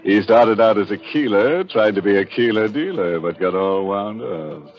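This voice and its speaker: Casting: gleefully morbid host, unhurried and punning, muffled 1940s radio with music underneath. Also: male voice, fairly low pitch, lively intonation. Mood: mock pity. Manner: sardonic, punning, chuckling, drawn-out delivery. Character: ghoulish, gleefully macabre horror show host. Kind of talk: 1940s radio horror hosting.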